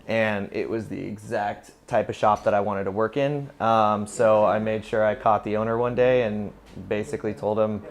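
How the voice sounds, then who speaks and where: Low-voiced American man, candid and casual. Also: fairly even intonation, moderate pace, few pauses. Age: 30s. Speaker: barber. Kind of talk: casual documentary interview. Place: inside a barbershop.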